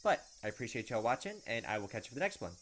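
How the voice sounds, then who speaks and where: A man's voice: mid-range voice, very animated, very fast, a bit bright. A young man hosting a gaming video, reading game text aloud and adding casual commentary.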